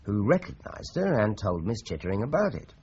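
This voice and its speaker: Deep-voiced, methodical British police inspector. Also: male voice, big pitch swings, a little mellow, dull audio, a little hiss or hum. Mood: explaining, relishing. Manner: deliberate, expository. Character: measured, methodical male police inspector explaining a murder case. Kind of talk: 1980s British radio drama acting.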